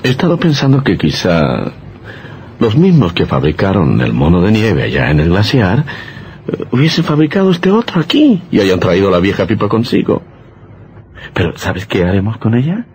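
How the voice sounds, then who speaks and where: A soothing, affectionate husband in a vintage radio play. His pitch swings widely as he coaxes theatrically, with faint noise behind him. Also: wildly swooping pitch, normal speed, a little mellow. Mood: reassuring. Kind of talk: vintage radio drama acting.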